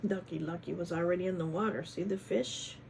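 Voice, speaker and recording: A woman reading a storybook to children in an expressive, teacherly way, adding chatty asides and questions. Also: lowish voice, fairly quick, gravelly, a little hiss or hum. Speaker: children's read-aloud host, former teacher.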